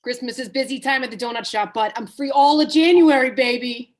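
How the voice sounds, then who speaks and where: A woman's voice: high-pitched, fairly even intonation, a little fast, lightly acted. A woman reading a cocky, pushy male Hollywood agent in a comedy script. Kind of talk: comedy script table read.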